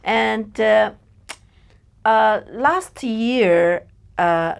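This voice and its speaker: Slow, hesitant woman with a Chinese accent. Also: a little high, vocal fry, smooth. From China. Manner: hesitant, searching for words, many fillers. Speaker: podcast guest. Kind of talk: personal interview.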